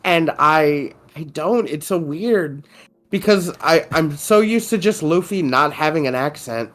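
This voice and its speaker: A woman's voice: low-pitched, expressive, unhurried. A woman co-hosting a podcast, opinionated and exasperated, often repeating herself. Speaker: podcast co-host. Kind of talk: casual chat.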